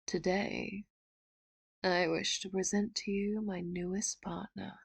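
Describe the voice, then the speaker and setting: A woman voicing a possessive, doting female villain in an audio roleplay, with a soft, intimate voice that slips into whispers and sweetly manipulative talk broken by quiet laughs. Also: medium pitch, level tone, slow pace, vocal fry, slightly rough, exaggerated voice. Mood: theatrical. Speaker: ASMR roleplay voice actor. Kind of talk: audio roleplay, addressing the listener.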